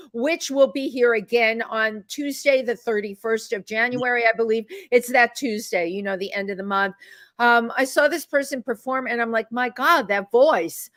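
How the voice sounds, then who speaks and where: A woman, recorded close and dry. She chats effusively as a talk show co-host, full of exclamations and lively, unscripted enthusiasm. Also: fairly high pitch, no room sound. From the Bronx, New York, United States.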